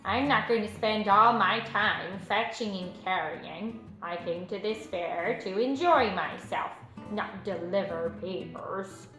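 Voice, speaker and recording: A storybook rat, sneering and greedy, acted out by a woman with slow, stretched words that swoop up and down. He relishes himself and looks down on everyone, in an echoey recording with music behind. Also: mid-range voice, bright, forceful tone. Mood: sneering, fed up. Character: sneering, greedy, pleasure-loving male rat.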